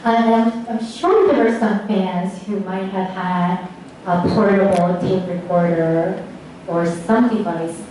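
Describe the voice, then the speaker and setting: A woman lecturer answering audience questions in a tentative, halting, thinking-aloud way. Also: mid-range voice, fairly even intonation, warm tone. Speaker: lecturer presenting research on radio dramas. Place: echoey indoor lecture room. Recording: echoey room, distant mic, faint noise.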